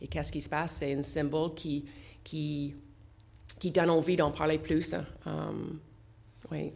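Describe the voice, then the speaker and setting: Radio guest: middle-aged woman, English accent, very low voice, hesitant, lots of fillers. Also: little pitch movement, creaky, darkish tone. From English-speaking country. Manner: halting, many fillers and restarts. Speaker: association founder, guest. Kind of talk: radio interview. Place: radio studio.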